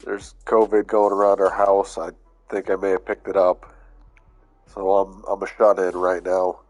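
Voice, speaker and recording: A man with a fairly low voice and a stuffy, congested sound, talking through a sports video game at an easy, unhurried pace. He thinks aloud with plenty of "uh"s, casual and a little worn down from being under the weather. Faint music sits underneath his voice. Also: completely flat delivery, darkish tone. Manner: laid-back, thinking aloud with fillers. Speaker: gaming YouTuber. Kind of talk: casual gameplay commentary.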